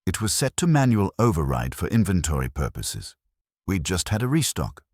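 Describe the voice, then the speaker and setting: No-nonsense woman office manager, fiftyish, read by a man: curt, practical, husky, animated. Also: sits low, normal speed, dry recording, studio quality, voice pushed up. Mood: matter-of-fact. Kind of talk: audiobook character voice.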